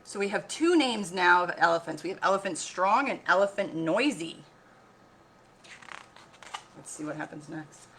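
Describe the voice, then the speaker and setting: A woman reading a picture book aloud as a teacher, expressive and instructive, often pausing to put questions to listeners. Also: on the low side, slight background noise. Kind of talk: read-aloud lesson for children with questions to listeners.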